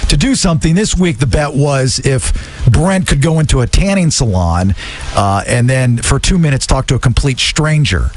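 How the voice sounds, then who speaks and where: A man's voice: mid-range voice, animated, moderate pace, a little hiss or hum. A man hosting a radio show with joking, teasing banter on a close studio microphone. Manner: jokey, teasing, mock-gushing.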